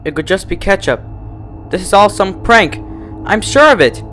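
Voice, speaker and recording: A man's voice: high voice, big pitch swings, moderate pace, bright, forceful tone, soft background music, voice pushed up, exaggerated voice. A man voicing a frightened but defiant young female pony, snappy and agitated, in a horror fan fiction reading. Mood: nervous.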